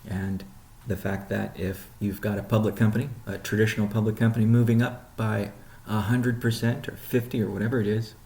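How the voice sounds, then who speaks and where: A man leading an informal business update call as a chief executive, explaining things in a measured way with pauses mid-sentence. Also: lowish voice, level tone, creaky, darkish tone. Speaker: company CEO hosting a weekly update call. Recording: roomy, echoing sound.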